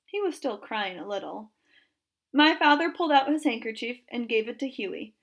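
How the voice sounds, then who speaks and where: A woman's voice: on the high side, lively intonation, moderate pace. A woman reading a children's story aloud in a narrating style. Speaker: storybook reader.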